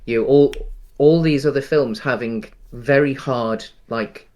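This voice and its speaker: A man with a mid-pitched voice chats about films as a podcast co-host. His phrasing is halting and rambling, often circling back before it settles on a point. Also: darkish tone. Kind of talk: casual film discussion.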